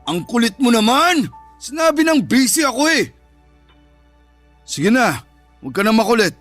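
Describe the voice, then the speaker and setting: An actor playing a jealous, controlling, moneyed boyfriend with a stern, commanding manner in a Tagalog radio drama. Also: male voice, extremely high pitch, sing-song, normal speed, a bit bright, no echo, faint music behind, exaggerated voice. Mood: irritated.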